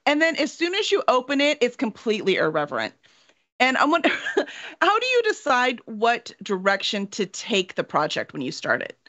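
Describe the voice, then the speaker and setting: A woman's voice: fairly high pitch, normal speed. A middle-aged woman hosting an interview in a casual, chatty, informal way. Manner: animated, informal. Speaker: interview host. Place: small home room with bookshelves. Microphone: video call, at a desk. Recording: slightly dull video call audio.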